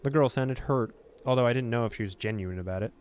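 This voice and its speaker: A man's voice: mid-range voice, fast, darkish tone, clear, resonant tone. A man hosting a let's-play, reading story text expressively and dropping in casual asides. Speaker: gaming video host.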